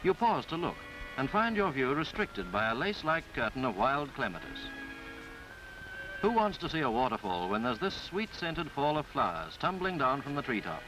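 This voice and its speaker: A man reading a scripted 1950s newsreel travelogue in a formal, florid, measured way. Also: mid-range voice, big pitch swings, low-quality recording. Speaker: newsreel narrator. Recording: 1950s film soundtrack with background music. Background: music.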